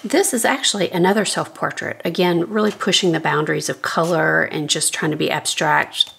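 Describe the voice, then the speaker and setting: A middle-aged woman with a low, scratchy, gravelly voice walks through her sketchbook in a relaxed, thinking-aloud way. She chats casually from her home studio, with a faint hiss under her voice. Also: fairly quick. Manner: relaxed, thinking aloud while flipping pages. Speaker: artist giving a sketchbook tour. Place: home art studio, indoors. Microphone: overhead camera, speaker off-frame.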